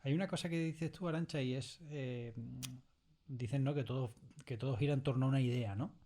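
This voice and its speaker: A middle-aged man talks as a guest in a studio interview. His voice is medium-pitched. He reflects out loud in a conversational way, often ending phrases with small tag questions. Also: a little fast. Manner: keeps checking in with tag questions. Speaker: interview guest, audio fiction writer. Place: recording studio.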